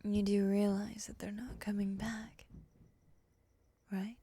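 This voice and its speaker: A woman playing a calm, condescending, predatory moth monster woman in an ASMR roleplay, speaking softly and often in a whisper. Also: medium pitch, takes it slowly, husky. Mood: exasperated, scheming.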